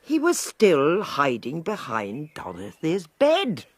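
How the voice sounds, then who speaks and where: An actress playing a self-assured older lady sleuth in a radio whodunit, with an upper-class English accent and a husky, deliberate, emphatic delivery. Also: low-pitched, wildly swooping pitch, no room sound, exaggerated voice. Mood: amused, teasing. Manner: deliberate, emphatic, precise, teasing. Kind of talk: 1920s whodunit radio drama acting.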